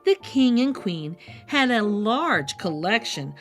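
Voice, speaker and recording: Female storybook narrator: very animated and playful, unhurried, with music underneath. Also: medium pitch. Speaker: storybook read-aloud host. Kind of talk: expressive children's story narration.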